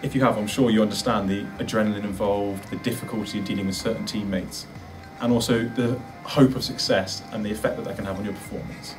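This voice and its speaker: A man's voice: fairly low pitch, steady tone, fast talker, few pauses, recorded from a distance, over music. A man giving an instructional presentation, as a presenter of practical advice. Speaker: presenter giving interview tips.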